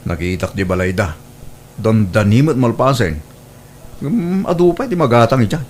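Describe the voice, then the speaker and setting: Actor as animated, nosy Ilocano village man. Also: medium pitch, moderate pace, natural voice. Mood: mocking, curious. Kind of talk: Ilocano comedy radio-style drama acting.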